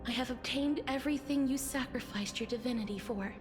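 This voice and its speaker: An actress playing a gentle, solemn young woman in a video game, speaking in a soft, breathy, measured and formal way. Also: sits high, close to an everyday voice. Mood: solemn tender. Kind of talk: video game story dialogue. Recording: background music under the voice. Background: game music.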